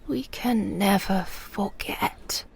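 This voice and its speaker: A woman playing a frail, wistful elderly British mother remembering her wartime childhood in a hushed, breathy audio drama monologue. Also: medium pitch, heavily acted, low-quality recording. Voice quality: breathy, whispery. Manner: slow, hushed reminiscing.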